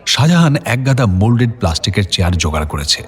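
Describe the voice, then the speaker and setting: A man's voice: lowish voice, very animated, fast. A man narrating a scripted suspense story in a dramatic storytelling style.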